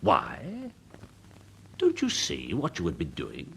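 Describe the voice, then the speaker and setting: An actor playing a worldly older English gentleman, a pompous and smoothly persuasive uncle in a period radio drama. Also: very deep voice, wildly swooping pitch, takes it slowly, slight background noise, full character voice. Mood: exasperated, scornful. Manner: pompous, urbane, rhetorical, insistent.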